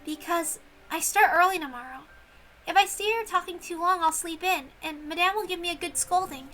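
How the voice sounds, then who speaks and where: A woman voicing a polite young servant girl, humble but resolute, with soft, courteous, earnest speech. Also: very high voice, a little fast, a little hiss or hum, voice pushed up, low-quality recording. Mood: apologetic.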